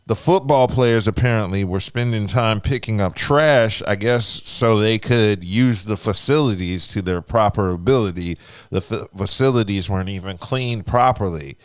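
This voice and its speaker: A man with a naturally loud, booming voice co-hosts a casual podcast, explaining at an even pace with plenty of "uh"s and "you know"s. His pitch sits a little low, and his voice comes through smooth and resonant. The close studio mic leaves it dry. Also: normal speed, dry recording. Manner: steady explanatory talk with frequent fillers. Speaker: podcast co-host. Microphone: close radio-style studio mic.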